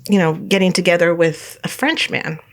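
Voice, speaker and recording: A middle-aged woman from California, a guest telling her life story in a relaxed interview, rambling with many fillers and restarts and laughing often. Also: lowish voice, moderate pace. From United States, California.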